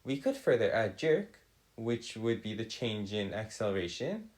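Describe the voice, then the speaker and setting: A man tutoring a math and physics lesson in formal, old-fashioned wording, often halting and correcting himself. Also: lowish voice, big pitch swings, a little mellow.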